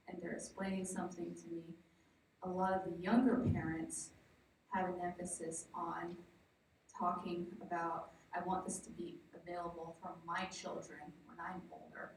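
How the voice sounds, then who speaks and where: Woman, conference presenter, slightly low pitch, halting explanatory delivery, heavily echoing room, distant mic. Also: creaky. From Navajo Nation, southwestern United States. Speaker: project researcher presenting. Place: large echoey indoor presentation room. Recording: very echoey room, distant mic, faint noise.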